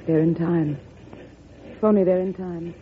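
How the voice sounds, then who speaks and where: A woman's voice: on the low side, fairly even intonation, measured pace, narrow, tinny audio, close to an everyday voice. A woman playing an anxious, loyal presidential secretary in a vintage radio drama, proper, earnest and fretful. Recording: thin, noisy old radio broadcast.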